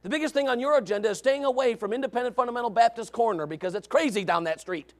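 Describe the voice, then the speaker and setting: A man preaching a revival sermon, loud and shouting, with joking asides to the congregation. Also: extremely high pitch, fast. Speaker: pastor.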